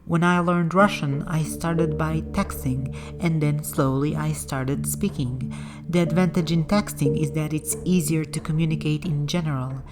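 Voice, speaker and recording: Low-voiced woman narrating over music. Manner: calm, explanatory, measured. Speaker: language-learning channel host. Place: voice-over, not in the game. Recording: music bed behind the voice.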